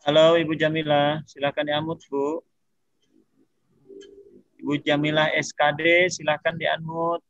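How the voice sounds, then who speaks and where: Male webinar facilitator, casual, noticeable fry, somewhat high pitch, lo-fi call audio. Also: little pitch movement, measured pace, pauses often, a bit bright. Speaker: webinar co-host calling on participants. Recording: dull lo-fi online call.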